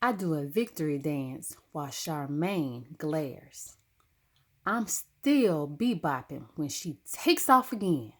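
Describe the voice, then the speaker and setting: Woman, lowish pitch, very unhurried, expressive children's read-aloud, dramatic phrasing. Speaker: storybook reader.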